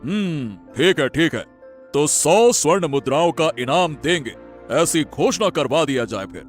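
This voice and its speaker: Gentle king, played by a man, formal, measured, reassuring, slightly raised pitch, children's story drama. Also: animated, soft background music. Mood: gracious, thoughtful.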